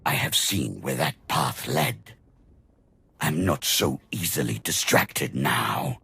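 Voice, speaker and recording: An actor playing an arrogant, coldly amused immortal dark-side villain in a video game, speaking with theatrical, drawn-out condescension and a gravelly, husky voice. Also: male voice, a bass voice, full character voice. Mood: menacing scorn. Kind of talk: dramatic video game acting.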